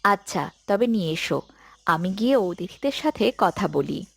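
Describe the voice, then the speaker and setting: A woman playing a kind, devout mother in a cartoon, speaking in a calm, approving and instructive manner. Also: mid-range voice, normal speed, creaky, breathy edge, no echo. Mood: gracious. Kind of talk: cartoon dialogue.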